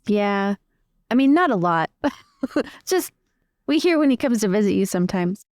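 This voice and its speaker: A woman's voice: medium pitch, expressive, unhurried, darkish tone, no room sound. Polite woman in close, dry sound. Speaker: player in a tabletop role-playing podcast. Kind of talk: improvised in-character conversation.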